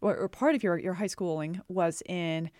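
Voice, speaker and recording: A woman's voice: lowish voice, normal speed, a little mellow, no echo, studio quality. A woman hosting a podcast, giving upbeat, friendly introductions and asking questions. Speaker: podcast host.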